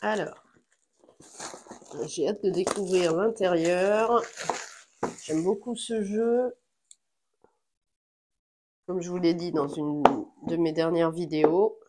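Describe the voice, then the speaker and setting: A woman with a slightly stuffy voice talks through a board game unboxing. She speaks slowly and sits a little low in pitch. She pauses often and fills the gaps with small fillers. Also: background noise. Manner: slow, relaxed, thinking aloud with fillers. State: has a cold. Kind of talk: casual unboxing chat.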